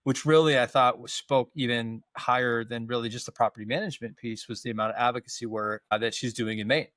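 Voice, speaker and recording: A man's voice: medium pitch, steady tone, fast, fairly bright tone, dry recording, clean studio sound. A man in his fifties hosting a podcast interview over a video call, chatty and rambling, often restarting his sentences. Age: 50s. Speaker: podcast host. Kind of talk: casual interview chat.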